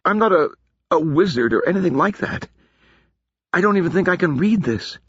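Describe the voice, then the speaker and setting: A blunt young woman with a quick, doubtful edge, voiced by a man pitching up high. Her voice is husky, the sound dull and lo-fi. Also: animated, fairly bright tone, no room sound, heavily acted. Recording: dull lo-fi recording.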